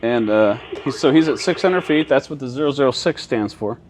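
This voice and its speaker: A man's voice: medium pitch, animated, fairly quick, a little mellow, low-quality recording. A man working as an air traffic controller, giving a casual, plain-spoken walkthrough and often checking that the listener follows. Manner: plain-spoken, explaining step by step with checking questions. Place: inside an airport control tower.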